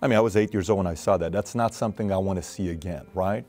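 A man's voice: deep voice, quick pace. A man in his thirties from Iran, hosting a studio interview, talking earnestly with lots of fillers and gestures. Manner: animated, earnest, with many fillers and hand gestures. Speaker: host. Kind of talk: interview and show intro. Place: studio set with wood-panel wall.

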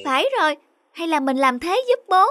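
A woman's voice: sits high, very animated, a little fast, bright, forceful tone, soft background music, exaggerated voice. A woman voicing a caring, dutiful little schoolboy in a cartoon, childlike and earnest. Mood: pensive. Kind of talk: cartoon dubbing.

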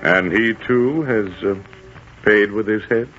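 A dull 1940s radio recording with clear music behind it carries a Roman dignitary. His voice is low, measured and reserved, polite but guarded. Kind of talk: 1940s radio drama acting. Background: music underneath.

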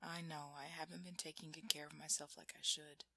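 A woman performs a shaken, affectionate girlfriend in an intimate ASMR audio roleplay. Her voice is very breathy and mostly whispered, with a creaky edge. The delivery is halting and close, recorded very dry. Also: sits low, flat delivery, pushed and bright, no echo, heavily acted. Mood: soothed, apologetic.

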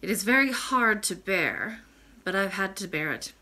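A woman playing a sweet, wise old grandmother in a storybook, speaking in a gentle, patient, measured manner. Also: medium pitch, expressive. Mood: consoling.

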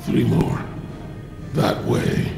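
An actor playing a gruff, stern, hardened male mercenary in a video game, gravelly, curt and dryly skeptical. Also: very slow, over music. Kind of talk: video game cutscene acting.

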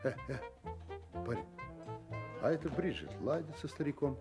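A man's voice: on the high side, big pitch swings, normal speed, darkish tone, faint music behind. An actor playing a private detective in a radio drama, wry and offhand as he probes and muses. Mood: probing.

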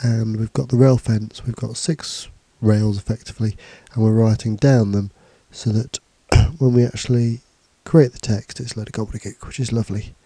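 Male tutor, stuffy from a cold, very dark and husky tone, relaxed and halting delivery, dry close sound. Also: lowish voice, no room sound. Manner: casual, hesitant with fillers and restarts. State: has a cold, bunged up. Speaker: computing teacher. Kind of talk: screencast tutorial. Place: indoors, voice-over on slides and screen recording.

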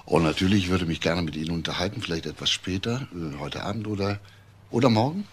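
A man playing a calm, probing police inspector in a German crime radio play, asking questions in a measured, polite way. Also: deep voice, slight background noise.

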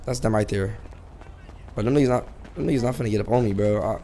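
A man's voice: lowish voice, quick pace, vocal fry, mellow. A man streaming street-crime game roleplay, talking in confrontational slang and insistently repeating himself over some noise.

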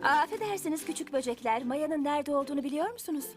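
A woman's voice: sits high, lively intonation, fast, soft background music. A woman voicing a polite, dignified grown-up bee teacher in a dubbed children's cartoon, with formal, courteous phrasing.

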